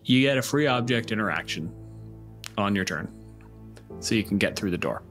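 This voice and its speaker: Low-voiced man running a tabletop game. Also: lively intonation, moderate pace, a bit bright, soft background music. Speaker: game master. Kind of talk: casual tabletop game narration.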